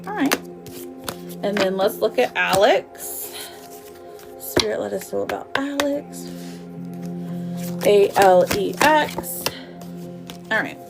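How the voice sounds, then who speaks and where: A woman's voice: medium pitch, lively intonation, slow pace, gravelly, pauses often, over music. A woman hosting a live tarot reading, chatty and informal, talking affectionately to her viewers. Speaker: tarot reader hosting a livestream. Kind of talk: casual live chat while reading cards.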